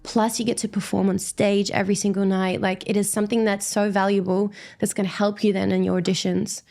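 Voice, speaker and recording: Gravelly-voiced Australian woman. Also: medium pitch, flat delivery, fairly quick, dark tone. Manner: chatty, reflective, upbeat. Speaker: guest dancer. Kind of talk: casual interview chat.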